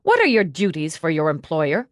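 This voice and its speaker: Woman narrator as tough female lieutenant, confrontational, relentless questioning, lowish pitch, dry audiobook sound. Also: huge pitch swings, normal speed, dry recording. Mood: probing. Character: hard, relentless female police lieutenant interrogating a suspect. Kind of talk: audiobook character dialogue.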